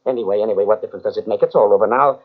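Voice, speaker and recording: A man playing a panicking, double-crossing gangster in an old radio drama, stammering and rising to shouts. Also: on the low side, rapid-fire, lightly acted. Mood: nervous. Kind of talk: 1940s radio acting. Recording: muffled old radio tape.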